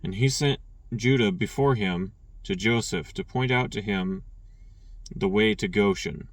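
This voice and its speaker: A man reading scripture aloud, stumbling and restarting on names and clearing his throat now and then. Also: on the low side, a little slow, no echo, distant mic. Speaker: scripture reader.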